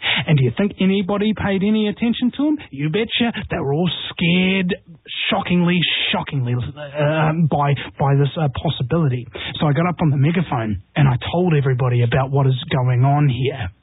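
Husky, combative man on a phone line. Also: a little high, brisk, dry recording. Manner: combative, rousing, rhetorical. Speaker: radio show host appearing as guest. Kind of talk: impassioned talk radio conversation. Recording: tinny phone line, dry.

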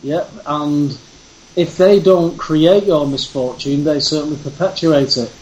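A man's voice: fairly high pitch, steady tone, a little slow, a little mellow, muffled recording. A man speaking as a guest in a casual conversation, laying out his case in an earnest, insistent way.

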